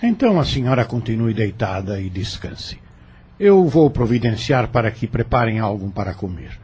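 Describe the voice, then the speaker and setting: A man's voice: fairly low pitch, expressive, moderate pace, darkish tone, slightly rough. A man playing a brusque, formal, commanding master of a colonial plantation house in an audio drama.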